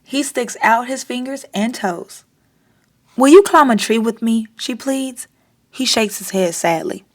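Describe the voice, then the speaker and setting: A woman hosting a storytime, reading a children's picture book aloud in an expressive, deliberate way. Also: fairly high pitch.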